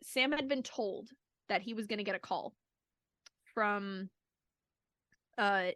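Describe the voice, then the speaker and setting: A woman's voice: medium pitch, slow, fairly bright tone, no echo. A woman podcast guest telling stories casually, with a gushing, dramatic fan's delivery. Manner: gushing, giggly, dramatic retelling. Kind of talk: casual, animated fan storytelling.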